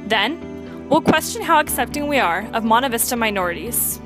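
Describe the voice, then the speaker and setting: A teenage girl presenting a student news program in a steady read-from-script manner. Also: fairly high pitch, normal speed, music in the background. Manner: reads evenly from a script.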